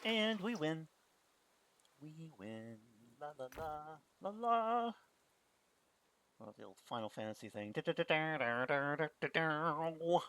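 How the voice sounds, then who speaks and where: A man streaming a game, chatting in a relaxed, rambling way. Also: on the high side, takes it slowly, slight background noise. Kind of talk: casual commentary while gaming.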